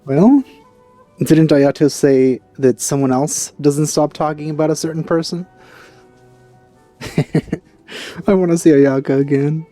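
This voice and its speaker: A man's voice: on the high side, steady tone, unhurried, long pauses, warm tone, soft background music. A man giving casual, offhand reactions as a let's play streamer, speaking bluntly.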